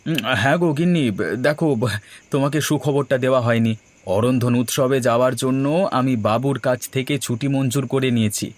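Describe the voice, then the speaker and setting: A man's voice: medium pitch, steady tone, brisk, a little hiss or hum, close to an everyday voice. A man voicing a humble working husband in a fairy-tale cartoon, polite, coaxing and eager to please. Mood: pleased, coaxing.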